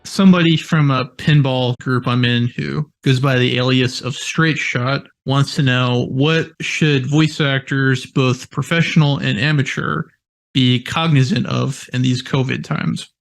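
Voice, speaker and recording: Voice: mid-range voice, fairly even intonation, a little slow, creaky. An interviewer reading out listener questions in a plain way.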